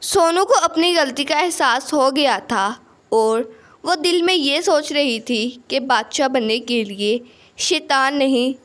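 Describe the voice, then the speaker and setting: A woman's voice: sits high, takes it slowly, a bit bright. A woman narrating a scripted children's story.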